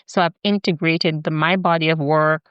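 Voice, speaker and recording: A middle-aged woman from Jamaica with a Jamaican accent, hosting a podcast introduction in a measured, deliberate way, with rhythmic lists of words. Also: deep voice, fairly even intonation, smooth, no room sound, highs cut off. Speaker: podcast host.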